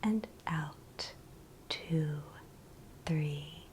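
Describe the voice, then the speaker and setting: A woman leads an ASMR guided meditation in a soft, breathy whisper, speaking with gentle, soothing reassurance. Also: sits low, drawn-out words, slight background noise, poor audio.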